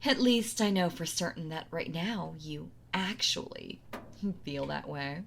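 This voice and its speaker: Woman doing a possessive yandere wife for roleplay audio, lowish voice, really slow taunting, mock sweet and gloating. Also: animated, a little hiss or hum, heavily acted. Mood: mock-tender, controlling. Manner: slow taunting delivery, breathy soft laughs, mock-tender. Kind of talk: intimate roleplay audio drama.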